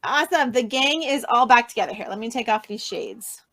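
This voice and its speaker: A woman's voice: a little high, animated, fairly quick, a crowd in the background. Upbeat woman hosting a video panel. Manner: enthusiastic, many fillers. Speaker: host of an online panel. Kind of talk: upbeat live-event hosting.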